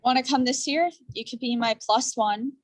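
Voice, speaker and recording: A woman's voice: high voice, steady tone, normal speed. A woman playing a blunt, sarcastic, impatient friend in a staged play reading, dismissive in manner. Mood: scornful.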